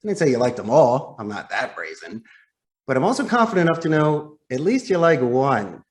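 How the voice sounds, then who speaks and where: A man with a mid-pitched voice coaches phone sales as a guest trainer. He is emphatic and demonstrative, acting out example lines. He addresses the listener directly, with a salesman's habit of posing questions and answering them. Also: sing-song, brisk. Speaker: podcast guest, call center sales trainer.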